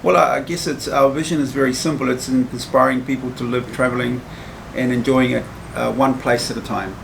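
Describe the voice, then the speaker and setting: A man in his forties from Chile, a guest answering interview questions in an office, hesitant and measured with many restarts. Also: medium pitch, fairly even intonation, gravelly, background noise. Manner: measured, with frequent hesitations and repeated words. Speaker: immigration adviser guest. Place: small office room, seated at a table.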